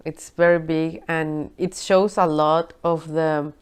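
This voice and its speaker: Lower-voiced woman interviewer, smooth. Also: takes it slowly. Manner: steady back-channeling, prompting questions. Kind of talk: casual interview.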